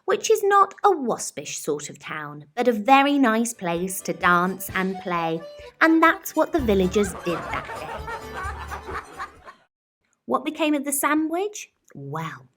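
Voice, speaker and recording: A woman's voice: a little high, sing-song, a bit bright, faint music behind. A woman reading a children's picture book expressively, in a dramatic, rhythmic way that draws out words. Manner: dramatic, rhythmic rhyming delivery with drawn-out words. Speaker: storyteller.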